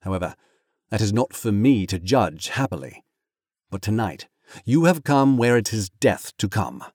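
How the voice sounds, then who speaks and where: A man's voice: on the low side, sing-song, moderate pace, a little mellow, no room sound, studio quality. A man voicing a stern, grave captain from a storybook, judicious and commanding, with formal archaic phrasing. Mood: stern questioning.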